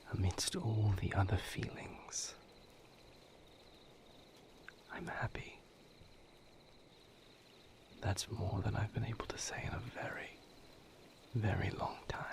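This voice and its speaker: Man acting a hushed, gravelly, weary vampire lover. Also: fairly low pitch, flat delivery. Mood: tender, grateful. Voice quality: hushed, breathy whisper with gravelly creak. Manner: slow, halting, solemn. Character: weary male vampire lover confiding his painful past. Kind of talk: intimate audio roleplay monologue.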